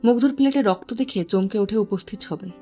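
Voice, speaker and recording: Woman narrator, medium pitch, mellow tone, scripted storytelling, faint music underneath. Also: brisk, breathy edge.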